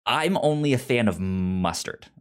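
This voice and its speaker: A man's voice: lowish voice, animated, measured pace, clean tone. A man co-hosting a casual chat show, with a stutter that repeats syllables and sounds as he talks. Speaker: podcast co-host.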